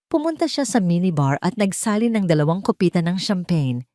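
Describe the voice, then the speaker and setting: A woman's voice: fairly low pitch, big pitch swings, a little fast, mellow, dry recording, studio quality. A woman reading scripted, dramatic narration for an audio story.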